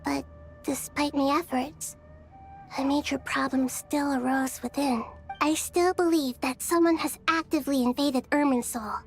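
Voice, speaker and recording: A woman voicing a gentle, wise, childlike deity in a video game, soft and youthful, speaking formally and earnestly. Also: high-pitched, fairly even intonation, unhurried. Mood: grave, explaining. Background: background music.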